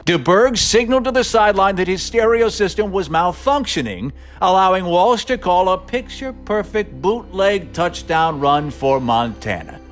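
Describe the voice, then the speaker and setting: A man's voice: high voice, animated, moderate pace, hardly any pauses. A man delivering scripted, humorous documentary narration with playful, mock-dramatic emphasis, like a podcast host. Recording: music bed under narration. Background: music.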